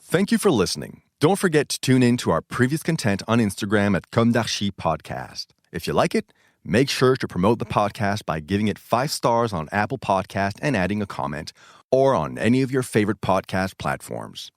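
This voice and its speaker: Guy doing a scripted podcast outro, lowish voice, really animated melody, dry recording. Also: normal speed, no room sound.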